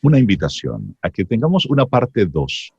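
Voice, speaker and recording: A man's voice: on the low side, wildly swooping pitch, normal speed, muffled recording, background noise. A man hosting a radio interview, speaking emphatically and expressively. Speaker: radio host.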